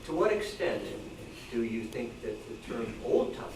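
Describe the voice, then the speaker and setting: A man asking an informal question from the audience, phrasing it haltingly and deliberately. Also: mid-range voice, very animated. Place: club meeting room, away from mic. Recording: distant, echoey room with clear noise.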